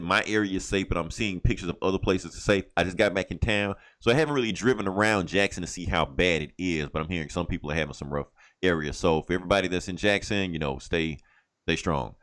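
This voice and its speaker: A man's voice: sits low, quick pace, fairly bright tone. A man from the United States hosting a casual podcast, telling stories in a joking, profane way. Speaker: comic book podcast host.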